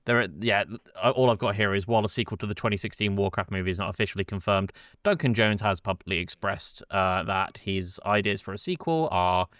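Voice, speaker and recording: A man with a lowish voice co-hosts a casual film podcast. He steers the conversation in an informal way, and the sound is dry and free of room echo. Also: a little fast, hardly any pauses, fairly bright tone. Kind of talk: casual film chat with facts.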